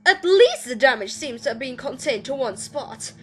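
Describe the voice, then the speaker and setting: A woman's voice: high-pitched, lively intonation, moderate pace. A woman voicing a prim, scholarly female pika archaeologist who speaks in a genteel, chatty and easily flustered way. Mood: curious, worried. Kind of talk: fan voice acting reel.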